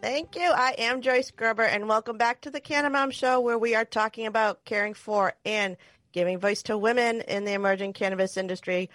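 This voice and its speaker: A woman's voice: a little high, brisk, fairly bright tone, dry recording. A middle-aged woman from New England hosting a podcast over a video call, chatty and laughing often. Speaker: podcast host. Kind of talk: casual podcast chat and ad read.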